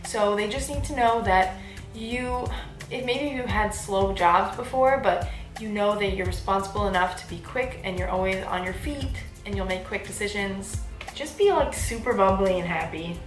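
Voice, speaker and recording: A woman in her twenties giving casual advice in a chatty vlog, with a bubbly, animated, informal manner. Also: mid-range voice, moderate pace. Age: 20s. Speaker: vlogger giving application advice. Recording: roomy echo, faint background music.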